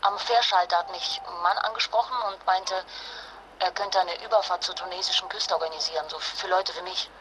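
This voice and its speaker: A woman's voice: fairly low pitch, steady tone, fairly quick, background noise, natural voice. An actress playing a determined, insistent wife and mother in a naturalistic radio drama, blunt and urgent. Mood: matter-of-fact. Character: determined, jealous wife and mother fleeing nuclear fallout.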